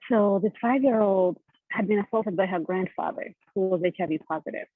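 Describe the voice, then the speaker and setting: A woman's voice: mid-range voice, a little fast, dry recording. Woman on a tinny call line. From East Africa. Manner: earnest, personal storytelling. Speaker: panelist, therapist and founder. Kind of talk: panel interview answers. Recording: tinny phone-like video call audio.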